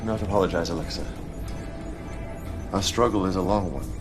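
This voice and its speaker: An actor playing a charismatic, scheming cult leader in a video game cutscene, speaking in grandiose, deliberate oratory. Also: male voice, deep voice, over music, close to an everyday voice. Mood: stern, paternal.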